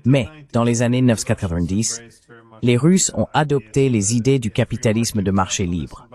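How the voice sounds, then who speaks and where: A man's voice: medium pitch, animated, normal speed, darkish tone, slightly rough. A man reading a formal translated voice-over of an interview host setting out questions.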